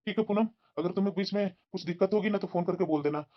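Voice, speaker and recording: A gentle young father, calm and reassuring, with a mid-range voice, as a woman plays him. The recording sounds dull and lo-fi. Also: mid-range voice, racing, lightly acted. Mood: earnest. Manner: soothing and reassuring.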